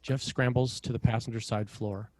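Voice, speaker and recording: A man narrating screenplay action and scene headings in a measured, dramatic way, in short phrases. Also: mid-range voice, steady tone, a little mellow.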